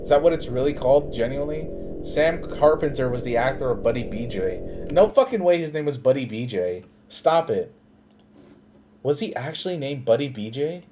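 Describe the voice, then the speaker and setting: Profane excitable streamer man. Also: mid-range voice, moderate pace, soft background music. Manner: profane, excitable, laughs and shouts often. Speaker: streamer reacting to videos. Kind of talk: live stream reaction, reading on-screen text aloud.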